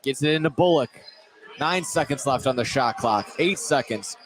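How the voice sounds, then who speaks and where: A man calling a live high school basketball game on radio, excitable and correcting himself as he goes. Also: moderate pace, a little hiss or hum. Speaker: play-by-play basketball commentator. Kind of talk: live sports radio play-by-play.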